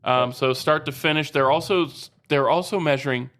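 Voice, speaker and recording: A man's voice: on the high side, moderate pace, fairly bright tone. Teasing, laughing podcast co-host, a man. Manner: teasing, laughs often, explains stats. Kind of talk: casual sports chat.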